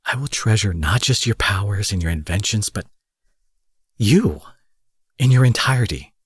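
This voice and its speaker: Man acting a deep, husky, possessive supervillain. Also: animated, normal speed, no echo, studio quality. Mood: tender, devoted. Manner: theatrical, coaxing, dropping from forceful to breathy murmurs. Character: smooth protective male supervillain courting his captive rival. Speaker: audio roleplay performer. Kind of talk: dramatic intimate audio roleplay monologue.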